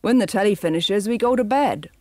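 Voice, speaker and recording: A woman's voice: mid-range voice, animated, brisk, a little mellow, no echo, voice pushed up, lightly acted. A woman reading a storybook aloud and voicing a heavy, dull-witted henchman who whines and objects. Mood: sulky. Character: heavy dim brutish man, sulky.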